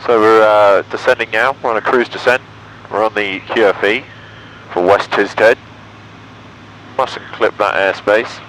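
Male English pilot-vlogger, lowish voice, clipped checklist commentary on a headset with engine drone behind. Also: steady tone, a little slow, long pauses, fairly bright tone, poor audio. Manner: clipped, procedural phrases with upbeat asides. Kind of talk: in-flight commentary and radio calls. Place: small microlight cockpit in flight. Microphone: aviation headset. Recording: engine noise over aviation headset audio. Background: aircraft engine drone.

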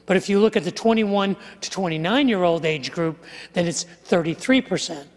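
An older man preaching a sermon, walking through statistics with animated gestures and wry asides, on a headset mic on a large church stage. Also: high voice, normal speed. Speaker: pastor. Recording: live sermon with long hall reverb.

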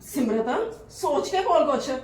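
A woman plays a gentle, patient sister-in-law and mother who coaxes and soothes in a high voice. She talks from a little way off the mic. The room rings with echo. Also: very animated, slow, recorded from a distance, full character voice. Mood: placating. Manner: gentle, placating, reasoning. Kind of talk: family drama skit.

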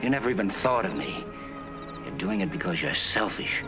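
Young doctor, bitter, lowish voice, 1940s film soundtrack with music. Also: male voice, very animated, normal speed. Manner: warm then cutting. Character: young male doctor, earnest then bitter. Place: outdoor park bench at night on a film set.

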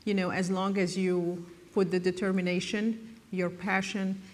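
A woman's voice: lowish voice, level tone, a little slow. A middle-aged woman from Morocco speaking on a conference panel, earnest and encouraging, often restarting her phrases. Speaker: panelist, biotech executive. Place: large hall, conference stage. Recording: long hall reverb.

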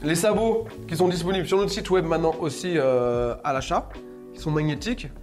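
A young man with a slightly high voice co-hosts a casual product review for barbers. He banters as he explains, pausing to find his phrasing. Music plays behind him. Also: darkish tone. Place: indoor studio set. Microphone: lapel. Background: background music.

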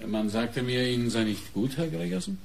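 A man's voice: medium pitch, lively intonation, moderate pace, gravelly, very noisy. An actor playing an insistent male police inspector who questions probingly in a radio crime play. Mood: stern. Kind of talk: German radio play acting.

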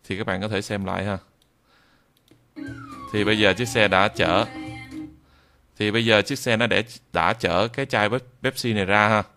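A man with a southern Vietnamese accent walks viewers through software step by step. His voice sits low, with a gravelly creak in it. He speaks off the cuff, halting and repeating himself as he demonstrates. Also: steady tone, faint music behind. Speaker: tutorial instructor. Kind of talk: screen-recorded software tutorial, improvised.

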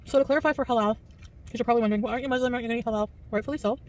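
A woman's voice: fairly high pitch, fairly even intonation, rapid-fire, darkish tone. A woman of forty filming a travel vlog on her phone, talking outdoors by a busy street and in her car. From Canada. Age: 40s. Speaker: travel vlogger. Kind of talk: vlog narration. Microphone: phone at arm's length.

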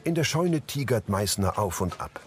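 A man's voice: fairly low pitch, sing-song, normal speed. A man giving scripted audio description as narrator, describing scenes in the present tense. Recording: loud music behind the narration.

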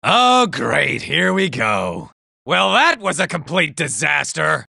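A man voicing a nervous, boastful male robot soldier in a video game, shouting in panic and tossing out sarcastic asides. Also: a little high, big pitch swings, slow, gravelly, dry recording, clean studio sound, exaggerated voice.

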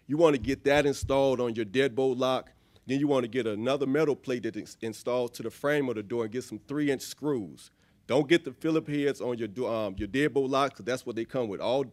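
A man's voice: medium pitch, no room sound. A man in his forties from Chicago, explaining plainly and matter-of-factly into a handheld mic. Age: 40s. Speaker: inmate speaker. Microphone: handheld microphone.

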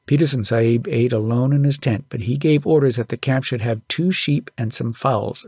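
A man's voice: mid-range voice, a little mellow, husky, no room sound, clean studio sound. A man reading a story aloud as an audiobook narrator, in scripted storytelling style.

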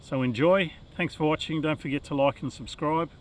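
A middle-aged Australian man narrating a casual fishing vlog from a kayak, relaxed and chatty, recorded on a head-mounted action camera outdoors. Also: on the high side, normal speed. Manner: relaxed, chatty, muttering to the fish while fighting it. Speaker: fishing vlogger.

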